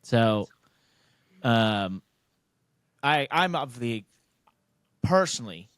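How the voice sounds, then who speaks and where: A man's voice: mid-range voice, sing-song, very slow, smooth, no echo. An American man talks casually as a sports podcast host. His voice sits in a middle register and is recorded very dry. He is blunt and emphatic, circling around his points as he goes. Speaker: podcast host, racing driver.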